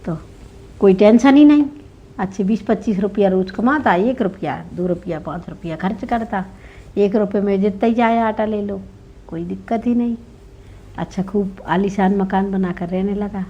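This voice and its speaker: A woman's voice: mid-range voice, moderate pace, mellow, husky. A woman narrating a folk tale informally, in a conversational way that checks in with the listener. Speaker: storyteller. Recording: dull lo-fi recording.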